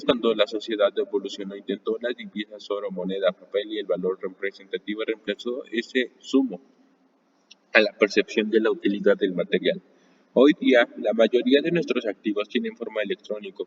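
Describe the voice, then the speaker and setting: Man, live book reader, measured delivery; distant mic, long reverb, dull lo-fi sound. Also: lowish voice, a little hiss or hum. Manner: measured reading with occasional restarts. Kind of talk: live reading aloud of a nonfiction book.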